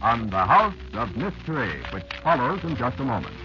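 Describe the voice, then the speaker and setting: A man's voice: medium pitch, very animated, moderate pace. A male announcer speaks in formal 1940s radio style, with a mid-range voice that moves up and down a lot. The recording is muffled, with music behind him. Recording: muffled old radio broadcast.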